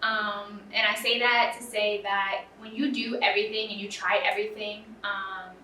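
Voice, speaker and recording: Young woman, bright voice, earnest, lots of fillers, echoey room, away from mic. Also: medium pitch, flat delivery, moderate pace, recorded from a distance, slight background noise. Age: young adult. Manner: earnest, filler-heavy with frequent "um" and "you know". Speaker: interview guest, student. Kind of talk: casual interview talk. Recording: roomy, off-mic with faint ambience.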